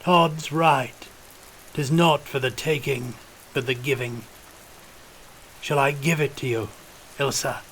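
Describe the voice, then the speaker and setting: A man's voice: medium pitch, fairly even intonation, takes it slowly, long pauses, a bit bright, a little hiss or hum, voice pushed up, low-quality recording. A man voicing a calculating, fanatical old regiment officer, wheezy and intoning like a preacher, in an audiobook. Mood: teasing, solemn. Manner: intoning, preacherly, rustic archaic turns of speech.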